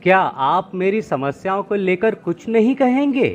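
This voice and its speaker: Man playing a high-pitched, plaintive troubled farmer. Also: very animated, normal speed, a little hiss or hum. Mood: troubled, complaining. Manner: plaintive, pleading, dramatic. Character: distressed, complaining farmer seeking a holy man's help. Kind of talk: acted moral-story narration. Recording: muffled lo-fi with music behind.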